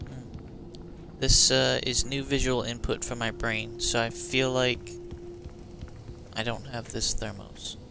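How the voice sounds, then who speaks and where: A man's voice: medium pitch, level tone, slow, creaky, pauses often, background noise. A man giving casual live gameplay commentary as a streamer, muttering to himself. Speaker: game streamer.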